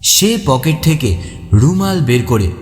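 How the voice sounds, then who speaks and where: A man narrating a first-person horror story in an expressive, suspenseful, dramatic way. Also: mid-range voice, slow pace. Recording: echoey long reverb, faint music behind. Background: faint music.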